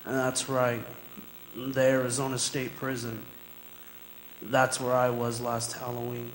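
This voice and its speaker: A man's voice: medium pitch, completely flat delivery, measured pace, a little hiss or hum, lightly acted. A man playing a condemned prisoner in a radio drama, talking in a folksy, colloquial way. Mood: wry, resigned. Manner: folksy, colloquial, addressing the listener. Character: condemned male prisoner, folksy narrator, anxious and pleading. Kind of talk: radio drama acting, conversational storytelling. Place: performing arts center stage. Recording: echoey stage hall with long reverb, music.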